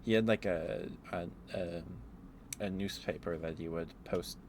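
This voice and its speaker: Casting: male ASMR streamer, low register, halting off-the-cuff rambler, noisy ambient backdrop. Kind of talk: rambling casual ASMR chat. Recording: clearly audible background noise.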